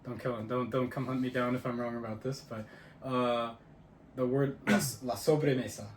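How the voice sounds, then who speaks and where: A man's voice: mid-range voice, fairly even intonation, normal speed. A young man co-hosting a podcast, chatting casually and quizzing his friend. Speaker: podcast co-host. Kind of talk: casual chat. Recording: roomy echo, faint ambient noise.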